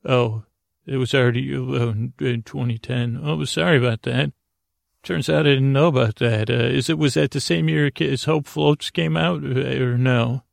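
A man hosting a bedtime podcast in a creaky, lulling voice, rambling haltingly and trailing off into long-winded tangents. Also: medium pitch, steady tone, dry recording, studio quality. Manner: lulling, soothing delivery. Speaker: podcast host.

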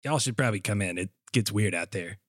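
A man's voice: fairly low pitch, fast talker, fairly bright tone, slightly rough, no echo, clean studio sound. A man playing a folksy, polite, mysterious ferry captain in an audio story, speaking hesitantly. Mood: reassuring, friendly.

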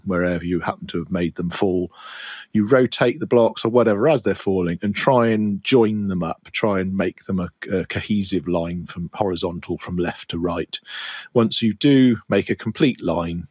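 A man's voice: low-pitched, dry recording, clean studio sound. A middle-aged man co-hosting a podcast, explaining things in a rambling, casual way. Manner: rambling, explanatory, with many fillers and restarts. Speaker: podcast co-host. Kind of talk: casual chat about retro games.

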